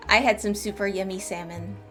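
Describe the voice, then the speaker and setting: A woman game streamer chatting casually and playfully with her live audience in an informal way. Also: mid-range voice. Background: game music.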